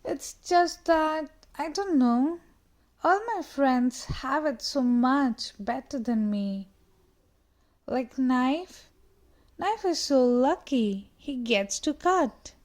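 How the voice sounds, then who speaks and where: A woman's voice: high voice, lively intonation, extremely slow, darkish tone, exaggerated voice. Woman doing a mopey little spoon boy. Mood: wistful. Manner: mopey, wistful complaining. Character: small sad young spoon, a boy utensil.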